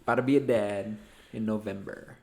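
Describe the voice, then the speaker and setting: A man's voice: fairly low pitch, steady tone, a little fast, vocal fry, warm tone, a little hiss or hum. Upbeat young man hosting a podcast. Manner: upbeat, laughs often, teasing. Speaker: podcast host. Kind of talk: casual chat.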